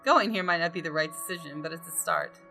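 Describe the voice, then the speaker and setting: A woman reading visual novel narration aloud with deliberate, dramatic storytelling. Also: on the low side, gravelly, pushed and bright. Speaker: gaming video host. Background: music.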